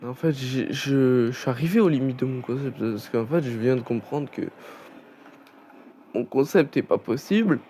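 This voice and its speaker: A man's voice: medium pitch, normal speed, warm tone, slight background noise. A young man chatting casually over a racing game, rambling with many fillers and restarts. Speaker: gaming channel host. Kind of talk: casual gameplay commentary.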